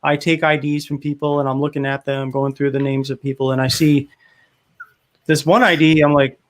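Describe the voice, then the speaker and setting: Chatty higher-voiced man from Rhode Island. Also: brisk. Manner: chatty storyteller, animated when telling a joke. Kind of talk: casual chat.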